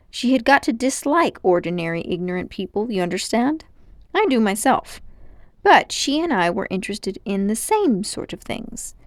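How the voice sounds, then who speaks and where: A woman's voice: fairly high pitch, dry recording, clean studio sound. A woman voicing a pompous, condescending, scheming old man, a self-important magician uncle in a storybook. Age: older. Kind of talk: storybook character voice.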